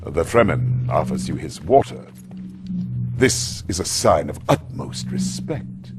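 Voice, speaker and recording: An actor playing a shrewd, courteous adviser to a noble house, briefing in a measured, theatrical way for a game cutscene. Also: male voice, very deep voice, animated, full character voice. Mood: calm, formal. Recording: long reverb, loud music behind.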